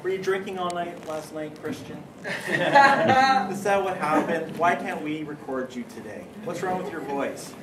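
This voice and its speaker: A man's voice: fairly high pitch, expressive, a little slow. A man who co-created the show, teasing the cast on a convention panel. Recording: echoey hall, distant camera, loud crowd.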